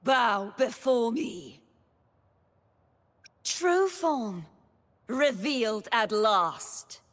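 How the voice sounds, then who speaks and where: A woman's voice: a little high, animated, drawn-out words, fairly bright tone, exaggerated voice. Solemn knightly young woman, long reverb. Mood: cold, commanding. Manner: formal, dramatic, archaic phrasing. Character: noble, solemn young woman knight wielding sword and tides. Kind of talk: scripted video game character voice lines.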